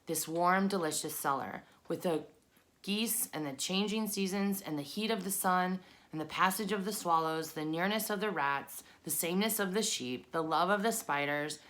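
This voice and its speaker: Woman, lower-pitched voice, storybook reader, scripted children's narration, read aloud. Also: moderate pace, fairly bright tone.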